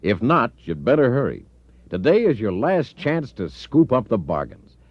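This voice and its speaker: A man's voice: mid-range voice, no echo. An American man reading a 1960s radio commercial as an announcer. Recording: dull 1960s radio recording.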